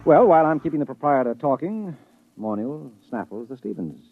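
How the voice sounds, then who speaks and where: Old narrow-band radio recording, American male writer, dry, sardonic, long-suffering, lively intonation, 1950s radio drama. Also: fairly high pitch, brisk. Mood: wry storytelling. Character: wry, long-suffering male writer, bohemian neighbour of a painter. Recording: muffled, noisy vintage 1950s radio recording.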